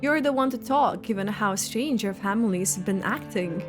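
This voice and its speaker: A woman's voice: medium pitch, animated, moderate pace. A woman gaming creator giving casual, hesitant live reaction commentary as she plays, often trailing off. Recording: faint game music behind.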